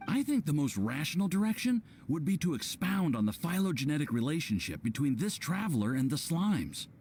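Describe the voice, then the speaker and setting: A man playing an earnest, bookish young research assistant in a video game, with animated, slightly hesitant academic phrasing. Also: fairly high pitch, a little fast, a little hiss or hum. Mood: earnest, musing. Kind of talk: scripted video game voice acting.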